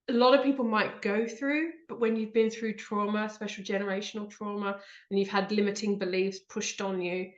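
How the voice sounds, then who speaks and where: A woman's voice: medium pitch, roomy sound, lo-fi sound. A woman hosting an online talk, congested with a blocked nose, chatty and earnest with frequent ums. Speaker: host. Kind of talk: live online talk with slides.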